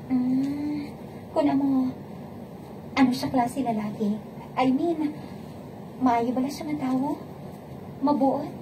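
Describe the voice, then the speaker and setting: High-voiced earnest young Filipina heroine played by an actress. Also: female voice, slow, pauses often, noisy background. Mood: curious, gentle. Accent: Filipino (Hiligaynon). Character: earnest, principled young bride-to-be of debt-bound family. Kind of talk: radio romance drama acting.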